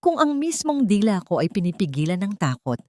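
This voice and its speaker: A woman's voice: medium pitch, sing-song, fairly quick, mellow. A woman narrating an audiobook, reading a scripted story in a dramatic style.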